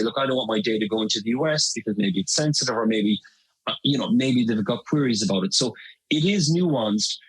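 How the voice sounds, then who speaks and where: A man's voice: medium pitch, breathy edge, rough recording. A middle-aged Irish man talking as a guest in an interview, chatty and full of fillers and restarts. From Ireland. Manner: conversational, many fillers and restarts. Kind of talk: interview conversation.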